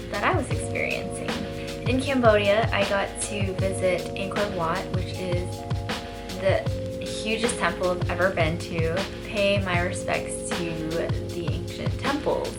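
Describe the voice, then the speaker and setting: A young woman with an upbeat, chatty and earnest manner, looking back on her year in a vlog. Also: on the low side. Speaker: vlogger. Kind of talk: reflective year-in-review vlog narration. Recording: narration over loud music.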